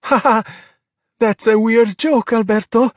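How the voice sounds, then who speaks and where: Man playing an excitable, nervous young boy, higher-pitched. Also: moderate pace, creaky, dry recording, studio quality. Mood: nervous, eager. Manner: nervous, stammering. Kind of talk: children's storybook character voice.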